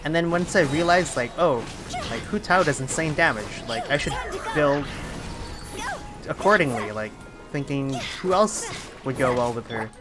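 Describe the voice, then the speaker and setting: Halting game streamer thinking aloud over music. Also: mid-range voice, animated. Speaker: live streamer. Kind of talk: casual game stream chat. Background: video game music.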